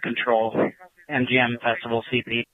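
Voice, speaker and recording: A man's voice: medium pitch, monotone, normal speed. A man speaking as a police officer, giving an advisory over a police radio. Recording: narrow, tinny police radio transmission.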